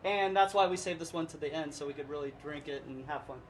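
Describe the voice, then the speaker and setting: A man's voice: on the high side, quick pace, a little hiss or hum, rough recording. A man hosting a casual wine tasting, prompting and joking with his guests. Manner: jokey, prompting. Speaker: host, sales director. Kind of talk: casual chat.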